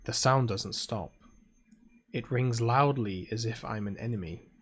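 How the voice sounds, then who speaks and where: A man's voice: medium pitch, measured pace, slightly rough. A British man reading aloud as a sleepy, proud teenage girl mage, in a drowsy, grumbling first-person voice. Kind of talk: reading a visual novel aloud.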